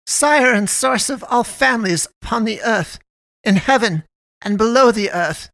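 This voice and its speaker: A narrator putting on a high, defiant old mother. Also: unhurried, a bit bright, dry recording. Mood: defiant, devout. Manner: solemn, declaiming, stubborn. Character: defiant, devout old village mother, a granny.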